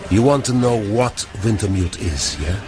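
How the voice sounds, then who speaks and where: An actor playing a calculating artificial intelligence in a barman's guise, measured and formal, in a radio drama. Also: fairly low pitch, lively intonation, close to an everyday voice. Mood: knowing, measured. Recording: music behind the voice.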